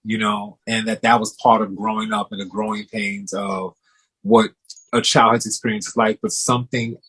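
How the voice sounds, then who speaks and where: A man's voice: fairly low pitch, flat delivery, normal speed, fairly bright tone, breathy edge, low-quality recording. A man hosting an interview, responding to his guest in a supportive, affirming way with many "you know" asides. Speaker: host, therapist-style interviewer.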